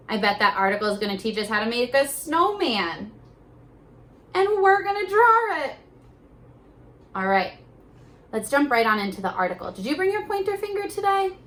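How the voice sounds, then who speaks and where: A young woman teacher at home on her kitchen floor, reading aloud slowly and sounding out words for young children. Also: on the high side, a bit bright. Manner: slow, encouraging, sounding out words.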